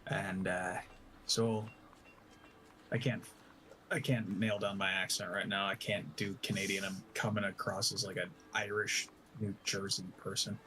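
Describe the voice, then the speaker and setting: A man with a low voice speaks slowly as a tabletop roleplay player, improvising his character's story. He pauses and corrects himself often, with music playing underneath. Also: level tone, pushed and bright, poor audio. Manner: halting, self-correcting.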